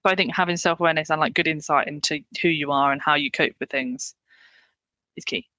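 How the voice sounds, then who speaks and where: A woman's voice: deep voice, fast talker, bright, forceful tone, no echo. A young woman with an English accent speaks openly about her work, in a loose, chatty way full of "like" and "you know." Her voice sits close and dry on the mic with no room around it. She laughs easily between thoughts. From England. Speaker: paramedic guest. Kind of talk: candid interview conversation.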